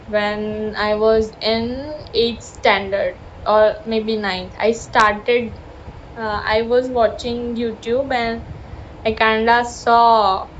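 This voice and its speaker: A young woman from South Gujarat with a Gujarati-influenced Indian English accent, chatting in a casual, rambling vlog with many fillers and apologies. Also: on the high side. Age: young adult. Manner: rambling, self-deprecating, apologetic, frequent fillers. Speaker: vlogger. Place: outdoors near busy traffic with honking. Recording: dull lo-fi phone audio with faint street noise.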